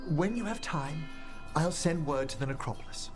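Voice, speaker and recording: Middle-aged gentleman necromancer acted by a man, fairly high voice, formal, earnest, fantasy game, music behind. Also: animated, normal speed, natural voice. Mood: earnest, courteous. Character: refined, courteous middle-aged male necromancer. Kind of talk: fantasy video game acting.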